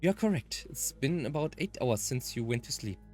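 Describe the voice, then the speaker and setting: A man's voice: medium pitch, expressive, moderate pace, darkish tone, faint music behind, natural voice. A man voicing a serious, composed young woman in a visual novel. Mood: composed, grave.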